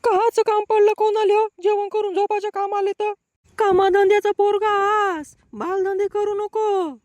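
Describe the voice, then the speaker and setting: Piercingly high nagging old villager. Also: female voice, fairly even intonation, normal speed, a little mellow, dry recording. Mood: nagging suspicion. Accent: rural Marathi. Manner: nagging, scolding. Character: suspicious, nagging elderly village woman in a comic cartoon. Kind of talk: comic cartoon dialogue.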